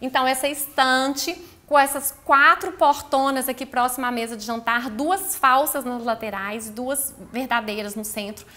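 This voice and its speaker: A high, bright female voice with a Brazilian Portuguese accent. She explains a project informally, like an architect walking viewers through her work. Her delivery is chatty and peppered with affectionate diminutives. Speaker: architect presenting her interior design project.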